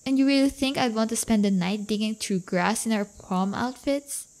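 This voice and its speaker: A woman's voice: fairly high pitch, a little mellow, natural voice. A woman playing a playful, crafty but affectionate teenage delinquent girlfriend in an intimate roleplay audio, teasing and coaxing. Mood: playful mocking. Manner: teasing, coaxing, drawn-out delivery.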